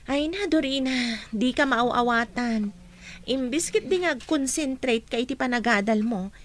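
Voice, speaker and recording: A woman playing a sensible teenage schoolgirl in a radio drama, chatty and gently scolding, with an Ilocano Filipino accent. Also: high-pitched, unhurried. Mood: chiding. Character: teenage schoolgirl, sensible, chiding best friend.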